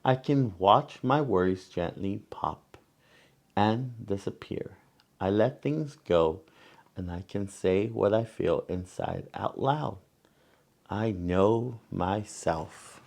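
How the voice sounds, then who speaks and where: A man reading a picture book aloud to children as a storyteller, gently and deliberately, pausing between phrases and adding small asides. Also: lowish voice, vocal fry.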